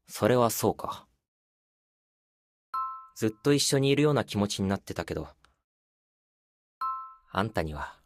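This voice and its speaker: A man's voice: fairly low pitch, lightly acted. A male actor playing an aloof, secretly lonely young man in an intimate, casual game voice drama. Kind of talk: intimate phone-call game voice acting.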